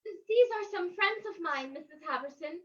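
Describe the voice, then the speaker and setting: A woman's voice: very high-pitched, lively intonation, moderate pace, sharp tone, exaggerated voice. A woman playing a polite, anxious young woman in mourning, in an old-time radio mystery reading. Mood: polite, subdued. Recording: dull, distant microphone.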